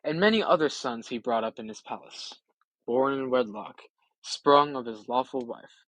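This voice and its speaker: A wily old wanderer , played by a man reading aloud, holds forth like a practised storyteller in an epic. His mid-pitched voice is proud, emphatic and declamatory, recorded dry. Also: normal speed, a bit bright, no room sound, natural voice, poor audio. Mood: proud, reflective.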